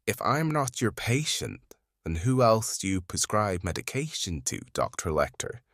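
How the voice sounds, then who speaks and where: A woman voicing a weary, guarded male FBI profiler in an audio drama, tired and deliberate, her voice pitched down to sound masculine. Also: sing-song, no echo, clean studio sound, exaggerated voice. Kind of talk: fan audio drama acting.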